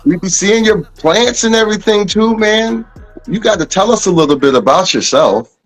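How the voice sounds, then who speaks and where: A man's voice: high-pitched, animated, moderate pace, faint music behind. A man co-hosting a podcast, welcoming a guest in a friendly casual way. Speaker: podcast co-host.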